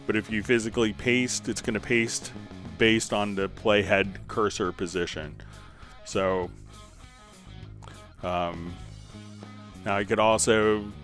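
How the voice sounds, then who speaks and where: Low-voiced man hosting a casual software tutorial. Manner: informal, conversational, thinking aloud while demonstrating. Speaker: livestream host and software instructor.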